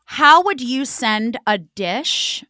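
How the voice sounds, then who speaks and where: A woman's voice: high voice, expressive, takes it slowly, bright, forceful tone, no echo, studio quality. A woman co-hosting a podcast, chatting in a playful, teasing way. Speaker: podcast co-host. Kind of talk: casual chat.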